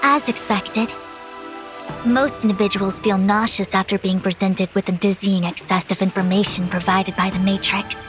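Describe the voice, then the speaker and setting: A woman's voice: medium pitch, steady tone, normal speed, close to an everyday voice. Woman to voice learned female master diviner, video game. Formal, pompous, self-possessed delivery, with music underneath. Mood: calm, instructive. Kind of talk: scripted video game voice acting.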